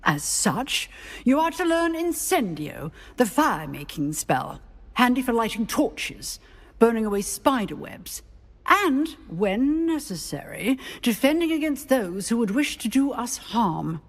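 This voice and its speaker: An actress playing a strict female magic professor with a crisp, authoritative manner. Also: fairly high pitch, very animated, a little slow, long reverb tail, heavily acted. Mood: brisk. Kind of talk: game cutscene acting.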